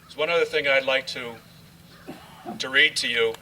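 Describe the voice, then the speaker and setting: A man addressing a ceremony as its host, speaking in a deliberate, halting way. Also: mid-range voice, fairly even intonation, sharp tone. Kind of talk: public address with read-aloud passages. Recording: distant mic, faint background noise.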